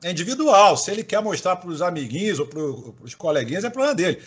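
Husky man, many hesitations. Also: high voice, sing-song, quick pace. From Salvador, Bahia, Brazil. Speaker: co-host, university professor. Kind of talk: casual interview chat.

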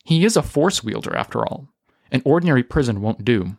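A man's voice: mid-range voice, lively intonation, fairly quick, creaky, darkish tone, dry recording. Guy doing a doubtful army officer, blunt, practical, audio drama, dry sound. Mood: skeptical. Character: skeptical, practical male military officer. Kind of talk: audio drama character reading.

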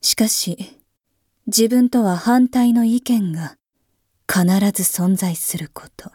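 A weary, brooding emperor, voiced by a woman, muses in a slow, half-whispered, husky tone. The voice is dark and mellow at a middle pitch, with a dry, close sound and no room around it. The old-fashioned, imperious phrasing carries quiet disdain and tiredness. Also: no room sound. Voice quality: archaic, imperious speech. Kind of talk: voice drama monologue.

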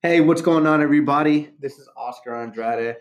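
A man's voice: a little high, fairly quick, no echo. A man hosting a casual podcast interview, upbeat and chatty, with lots of fillers and restarts. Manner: upbeat, hyped greeting with many fillers and restarts. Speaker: podcast host.

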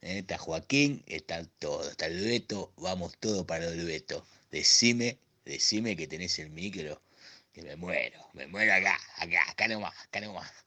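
A man playing an excitable, scheming Argentine former classmate in a comic radio drama, teasing and repeating himself. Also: sits low, moderate pace, full character voice. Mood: excited. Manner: teasing, repetitive.

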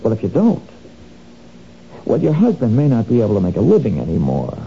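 A man's voice: medium pitch, huge pitch swings, brisk, creaky, warm tone. An actor playing a sly, menacing male crook who wheedles and threatens, in a 1970s radio drama. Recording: dull lo-fi old radio recording, faint noise.

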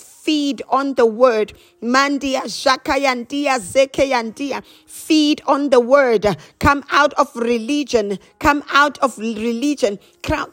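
High-pitched woman preacher, exhorting over music. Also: a bit bright. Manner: exhortatory, repetitive, emphatic phrasing. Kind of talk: charismatic prayer sermon with chanted glossolalia.

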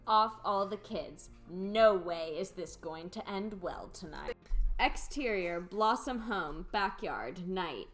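A woman narrates a radio play from its script. Her voice sits a little low as she reads scene headings and stage directions. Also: lively intonation, slow, faint music behind.

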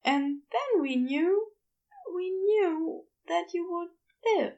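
A woman voicing a gentle, earnest, bashful man with tender, hesitant phrasing in an audiobook. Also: very high voice, expressive, slow pace, dull audio, pitched above the performer's own voice, full character voice. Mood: tender, relieved. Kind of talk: audiobook character dialogue.

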